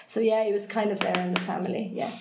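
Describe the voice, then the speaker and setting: A woman's voice: mid-range voice, steady tone, quick pace. Woman talking through hesitations. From Hungary. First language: Hungarian. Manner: thoughtful, self-correcting, frequent hesitations. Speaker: head of English department, guest. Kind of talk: unscripted conversation. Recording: echoey room, faint noise.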